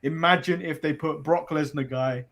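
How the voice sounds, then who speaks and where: A man's voice: a little high, fairly even intonation, normal speed, a bit bright. A man from England, co-hosting a casual sports podcast and rambling a little as he repeats phrases. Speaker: podcast co-host.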